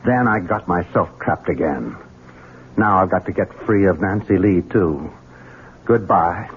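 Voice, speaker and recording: An actor playing a callous, bitter, hard-drinking master of the house in an American radio drama, theatrical and sneering. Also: male voice, low voice, expressive, unhurried. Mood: bitter gloating. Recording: noisy, tinny old radio broadcast.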